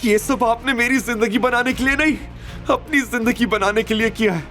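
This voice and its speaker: Audio drama heroine, performed by a man: young, indignant, high-pitched, emotional, music underneath. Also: normal speed, sharp tone. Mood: furious, hurt. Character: indignant, hurt young woman with fiery temper. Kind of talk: Hindi audio drama acting.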